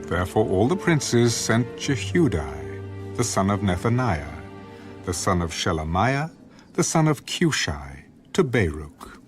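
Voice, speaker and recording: A man with a lowish voice narrates scripture formally, in measured phrases. His intonation is lively and expressive, and music plays clearly behind the scripted reading.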